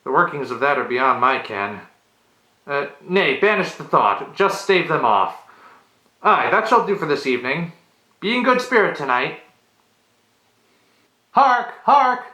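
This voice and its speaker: A nervous medieval tavern steward acted out by a man delivers a mock-Shakespearean monologue in a bright, forceful, slightly high voice. He is theatrical and archaic, stumbling on little ums as he offers false reassurance. The room echoes clearly around him. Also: big pitch swings, unhurried, pauses often, exaggerated voice. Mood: nervously encouraging.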